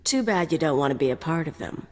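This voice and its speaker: A cocky female mercenary with a very low voice and flippant, sardonic delivery. The game audio trails into a long reverb. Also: expressive, quick pace, background noise.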